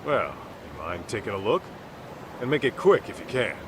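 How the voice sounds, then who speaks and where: An actor playing a brash middle-aged detective in a video game dub, with an American accent and blunt, slangy delivery. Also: male voice, on the low side, sing-song, moderate pace, fairly bright tone, slight background noise. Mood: brisk, easygoing. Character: brash, street-tough middle-aged male detective, gruffly fatherly. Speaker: private detective.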